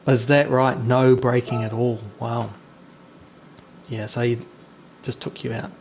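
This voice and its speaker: A man's voice: medium pitch, flat delivery, measured pace, a little mellow, slight background noise, rough recording. A man, chatting casually and teasing other drivers as he streams an online race on a gaming headset. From New Zealand. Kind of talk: casual live-stream commentary and chat.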